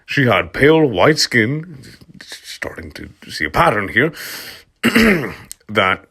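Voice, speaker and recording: Man, husky low voice, slow delivery, sardonic comic read-aloud, theatrical swings in volume. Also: sing-song. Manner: sardonic, theatrical, swings between murmurs and loud outbursts. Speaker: reader of comic fan fiction.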